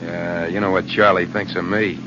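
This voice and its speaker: An actor playing a sullen, slow-witted male gangster's errand boy in a 1940s radio drama. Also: low voice, level tone, music in the background, natural voice. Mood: sullen, doubtful. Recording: muffled, noisy 1940s radio recording.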